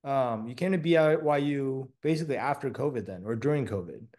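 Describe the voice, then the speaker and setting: A man's voice: medium pitch, brisk, darkish tone. Casual chatty male interview host. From Taiwan. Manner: casual, friendly, lots of fillers like "um" and "like".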